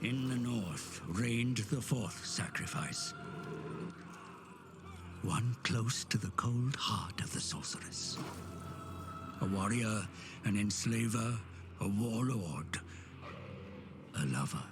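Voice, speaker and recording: A man narrates in a low, unhurried voice, grave and measured like a cutscene storyteller. He drops now and then into hushed, whispered phrases. Loud orchestral music plays behind his voice. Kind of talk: scripted dramatic narration. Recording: studio narration over loud orchestral score.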